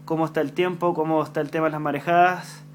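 A man's voice: on the high side, little pitch movement, fast talker, slight background noise. A man with a Chilean accent, chatting on amateur radio from home and giving call signs. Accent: Chilean Spanish. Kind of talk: ham radio chat. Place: home room at a radio desk.

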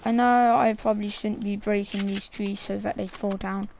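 A woman with a croaky, gravelly voice, coughing now and then, casually commenting on her own video game play. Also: medium pitch, fairly even intonation, normal speed, darkish tone, a little hiss or hum, low-quality recording. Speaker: gameplay streamer.

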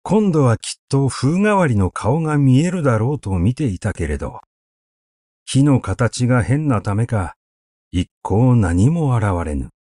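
Expressive male literary reader, unhurried. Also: medium pitch, dry recording, clean studio sound. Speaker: narrator reading literature aloud. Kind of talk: literary recitation of a classic essay. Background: background music.

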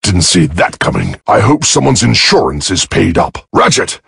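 An actor playing a cocky, gruff, battle-loving giant robot soldier in a video game, raspy and gravelly, with a swaggering, wisecracking delivery. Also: male voice, sits low, very animated, normal speed, fairly bright tone, dry recording, heavily acted. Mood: swaggering, menacing.

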